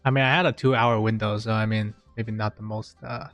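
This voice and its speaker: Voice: mid-range voice, steady tone, a little fast, a bit bright, dull audio. A video game streamer giving casual live commentary in a hesitant, joking, self-deprecating way. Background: game music.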